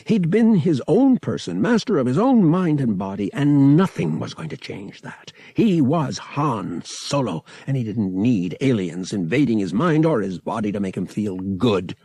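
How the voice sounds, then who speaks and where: A man narrating fiction as an audiobook reader, with an expressive, theatrical storytelling manner. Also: medium pitch, measured pace, few pauses, slightly rough, no echo. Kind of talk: dramatic scripted fiction narration.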